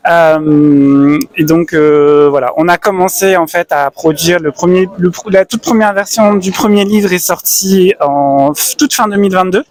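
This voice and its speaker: A man who illustrates books, chatting at a convention stand in a thoughtful way with frequent pauses and fillers. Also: high-pitched, fairly even intonation, darkish tone. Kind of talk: casual interview chat. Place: busy convention hall.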